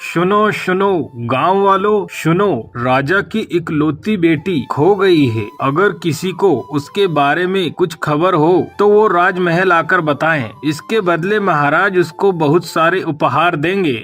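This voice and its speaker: A man plays a royal town crier in a cartoon tale. His fairly high voice proclaims slowly and formally, with drawn-out calls, over faint music. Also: a bit bright. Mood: proclaiming. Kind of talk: Hindi cartoon story acting.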